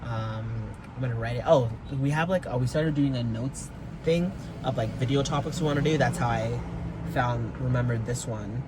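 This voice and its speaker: A young man sits in his car and talks into his phone. He rambles and hesitates, fills his pauses with "um" and "like", and swears casually. Car noise hums clearly behind his mid-pitched voice. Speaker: vlogger. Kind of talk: rambling personal vlog. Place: inside a car.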